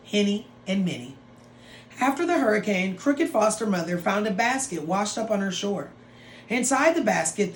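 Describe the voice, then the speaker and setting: A woman teacher reading a story aloud to students in an expressive, dramatic way, with drawn-out phrases and playful asides. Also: medium pitch. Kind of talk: expressive read-aloud of a picture book for students. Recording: roomy echo with some background noise.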